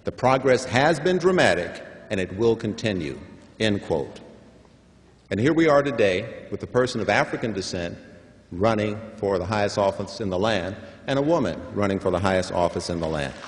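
Older man, low voice, formal commencement address, measured and emphatic, podium mic, long hall echo. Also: expressive. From San Francisco. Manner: measured, emphatic, builds to stressed points. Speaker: commencement speaker. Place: large hall at a graduation ceremony.

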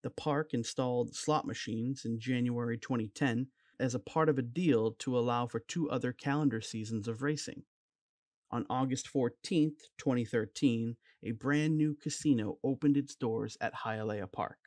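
Male voice-over narrator, mid-pitched and even, reading a history script in a dead-dry booth. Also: normal speed, no echo. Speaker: documentary narrator.

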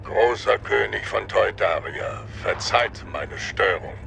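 A man's voice: on the low side, expressive, takes it slowly, creaky, sharp tone, slight background noise, heavily acted. A man playing a suave, menacing old aristocratic villain count, formal, persuasive and condescending. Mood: smooth, condescending.

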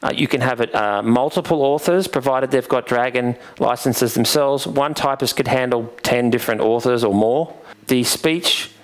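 Man presenting a software demo. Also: mid-range voice, normal speed. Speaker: presenter, software vendor demonstrating a product. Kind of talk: informal software tutorial and demonstration. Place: indoors, presenting at a computer. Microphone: headset microphone.

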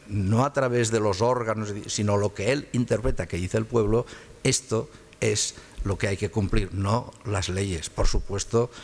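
An older man from Catalonia speaks as a guest lecturer in a lecture hall, his voice sitting on the low side. He talks in a loose, conversational way, stumbling and repeating small words while he searches for the next phrase. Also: breathy edge. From Catalonia, Spain.

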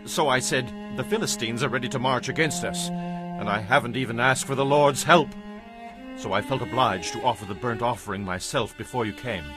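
A man's voice: medium pitch, a little fast, music in the background, close to an everyday voice. An actor playing a defensive, anxious ancient warrior king in an audio Bible drama.

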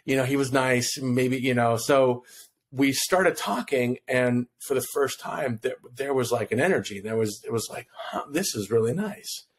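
A man from Hawaii in his fifties, a podcast guest, telling a personal story in a relaxed, rambling way and acting out quotes, on a podcast mic at home. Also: medium pitch, normal speed, a little hiss or hum. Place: home room on video call. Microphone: podcast mic in front of him.

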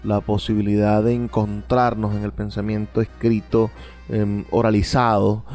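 A man hosting a radio show, giving reflective music commentary in a halting, searching way. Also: fairly low pitch, mellow. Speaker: radio host.

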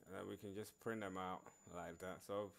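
A man narrating an informal programming screencast in a halting way, repeating words as he works. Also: sits low, fairly even intonation, vocal fry. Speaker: programming tutorial presenter. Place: screen recording voice-over.

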